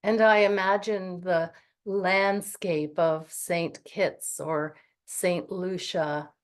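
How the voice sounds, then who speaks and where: A woman leads a guided meditation in a soothing, gentle voice that sits on the low side. She speaks very slowly, letting long pauses settle between her phrases. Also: fairly even intonation.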